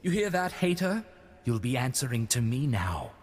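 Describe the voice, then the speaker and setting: A smug, lecherous young lord of a video game. His husky voice swoops theatrically as he teases, with a long hall reverb around it. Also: mid-range voice, sing-song, normal speed. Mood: gloating. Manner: theatrical, teasing. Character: roguish, lecherous male noble, smug and flippant.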